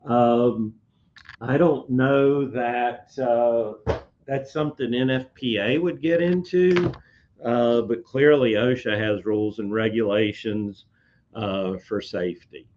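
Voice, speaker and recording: Slow, halting man with a dull mic. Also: mid-range voice, steady tone, darkish tone. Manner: slow, halting, many "uh" fillers and restarts. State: slightly hoarse. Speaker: code expert on a live industry webcast. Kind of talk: casual live-stream talk, answering viewer comments.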